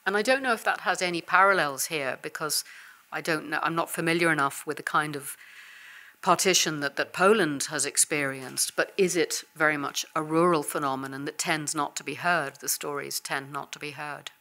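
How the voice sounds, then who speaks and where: A low-pitched woman with an English accent, speaking in a university seminar room. She lectures in a relaxed, conversational way, often pausing with "uh" and "you know". Her answers are thoughtful and unhurried. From England. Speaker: visiting professor and guest speaker. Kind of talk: academic seminar talk and answering questions.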